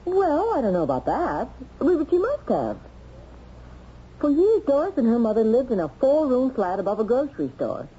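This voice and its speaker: Flustered, animated American woman witness. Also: fairly high pitch, normal speed, husky, full character voice. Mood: flustered, wistful. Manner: hesitant, exclamatory, gossipy. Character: chatty, flustered working woman being questioned, plainspoken. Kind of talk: radio mystery drama acting. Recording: dull, noisy old radio recording.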